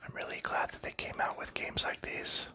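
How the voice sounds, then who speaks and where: A man whispers through an ASMR session, his voice airy and very bright. He drifts from topic to topic in an easy, unhurried ramble, with small fillers as he works the cards. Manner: soft rambling whisper with light laughs and fillers. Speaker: ASMR channel host. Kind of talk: ASMR whispered chat while sleeving cards.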